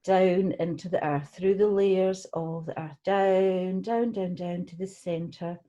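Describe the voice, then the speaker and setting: A woman leading a guided relaxation meditation, speaking gently and soothingly, unhurried, with pauses between phrases. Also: on the low side, steady tone, highs cut off. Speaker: guided meditation leader, tai chi qigong teacher.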